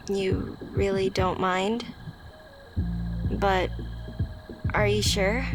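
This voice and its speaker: Actress as shy, anxious young woman confiding in a friend, ASMR roleplay. Very unhurried, halting delivery over music. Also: on the low side. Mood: reluctant, deflecting. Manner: hesitant, halting, soft-hearted confiding. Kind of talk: ASMR roleplay audio drama. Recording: music behind the voice.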